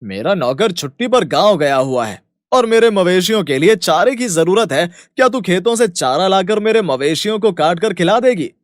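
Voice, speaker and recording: Man acting a loud, high-pitched rich farmer. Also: animated, moderate pace, no echo, clean studio sound. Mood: brisk. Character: rich village farmer, brisk, bossy employer. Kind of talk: acted dialogue in a children's moral story.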